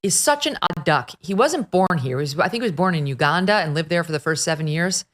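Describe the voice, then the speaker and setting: Woman talk show host, kinda low voice, sarcastic and punchy, big opinions. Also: lively intonation, fast. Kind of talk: political talk show commentary. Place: at the beach.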